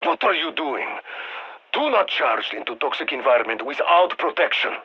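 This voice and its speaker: A commanding Russian soldier in a military video game. He is raspy, fairly high for a man, and speaks in clipped, dry orders with a Russian accent. The sound is slightly dull, as if through a radio. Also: very animated, moderate pace, exaggerated voice. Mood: urgent, stern. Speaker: mission handler.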